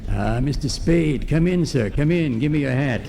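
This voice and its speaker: An actor playing a pompous, courteous, scheming villain in a radio noir parody, formal in speech. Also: male voice, on the high side, expressive, normal speed, vocal fry, warm tone. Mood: suave. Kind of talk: comic old-time radio parody acting. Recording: faint noise on live recording.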